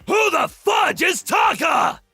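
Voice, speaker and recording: A man doing a gravelly macho biker teen. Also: extremely high pitch, very animated, slow pace, pushed and bright, shouting, soft background music, some chatter behind, exaggerated voice. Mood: rowdy. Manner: brash and macho, calls people bro. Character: tough teenage male biker delinquent. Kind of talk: fan dub of an anime game.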